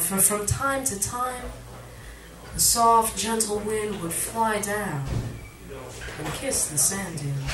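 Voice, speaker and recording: Woman reading poetry in an echoey room. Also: mid-range voice. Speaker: poet, featured reader. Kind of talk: poetry reading, performed recitation. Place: literary cafe, indoors, live reading. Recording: echoey room recording from far off, audience noise. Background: cafe audience sounds.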